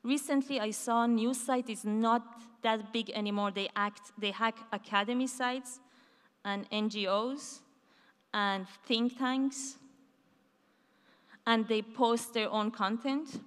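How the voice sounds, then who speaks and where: A woman's voice: fairly high pitch, steady tone, takes it slowly, a bit bright, clean tone. Woman speaker on a reverberant stage. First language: not Hebrew. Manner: conversational, with many fillers and restarts. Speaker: conference keynote speaker. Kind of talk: conference talk. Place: large dark conference stage. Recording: hall reverb from a large stage.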